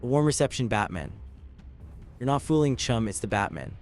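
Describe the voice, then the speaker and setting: A man's voice: medium pitch, animated, normal speed, close to an everyday voice. A man voicing an edgy thug with curt, slangy tough talk in a comic book dub. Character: edgy male thug in a robbery gang. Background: music.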